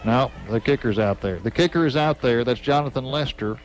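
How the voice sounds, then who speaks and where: A man calling live high school football play-by-play in short, clipped phrases that speed up on big plays. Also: brisk, rough recording. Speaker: play-by-play announcer. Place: outdoor stadium press box.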